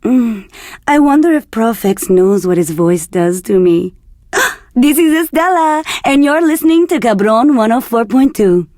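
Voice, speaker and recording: Flirtatious radio DJ from Argentina for a game: high, breathy, teasing, very dry close sound. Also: female voice, lively intonation, unhurried, mellow, no room sound. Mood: sultry. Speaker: radio DJ host. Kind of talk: scripted radio DJ patter for a video game.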